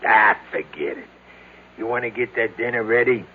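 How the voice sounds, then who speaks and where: An actor playing a brusque, dismissive husband in an old-time radio drama. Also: male voice, on the low side, expressive, normal speed, slight background noise, natural voice. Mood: brusque, sly. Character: brusque, dismissive husband who bosses his wife. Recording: tinny phone-like vintage radio.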